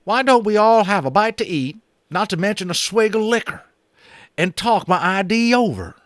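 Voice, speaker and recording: A man voicing a boastful, rowdy old cowboy in an audiobook, with a loud, drawling twang. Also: sits high, expressive, moderate pace, no room sound, studio quality, voice pushed up. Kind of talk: audiobook character voice.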